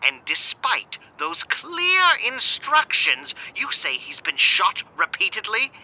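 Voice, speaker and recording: Stern, slow, piercing vampire elder. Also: male voice, high voice, wildly swooping pitch, pushed and bright, full character voice, rough recording. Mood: angry, scolding. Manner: cold, commanding, deliberate. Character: stern, menacing male vampire clan elder. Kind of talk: audio drama acting.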